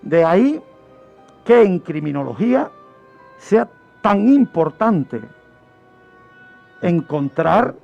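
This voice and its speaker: A man's voice: sits high, big pitch swings, slow, gravelly, pauses often, a little mellow, muffled recording, faint music behind. A man explaining a true-crime history on radio in a didactic way, posing questions and answering them. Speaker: guest criminologist presenting a true-crime segment.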